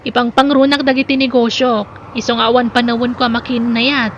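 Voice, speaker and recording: Actress as high-voiced, friendly young sister-in-law, Ilocano accent. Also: female voice, little pitch movement, a little fast, lo-fi sound, a little hiss or hum, close to an everyday voice. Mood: bashful, teasing. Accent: Filipino, Ilocano. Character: Pearly, single businesswoman, friendly bashful younger sister-in-law. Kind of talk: Ilocano audio drama acting.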